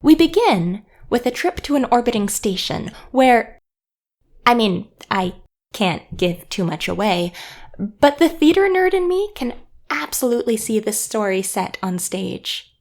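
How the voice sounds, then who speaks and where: A woman's voice: fairly high pitch, sing-song, measured pace, a little mellow. A woman giving a scripted podcast introduction as the show's host.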